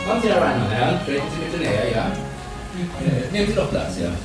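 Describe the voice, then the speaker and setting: Radio drama boss: brisk, take-charge executive, quick delivery, roomy acoustic. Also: male voice, fairly high pitch, sing-song. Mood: courteous, brisk. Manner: businesslike, takes charge. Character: brisk, commanding male boss of a football-and-beer business. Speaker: company boss chairing a meeting. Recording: roomy studio sound with music behind.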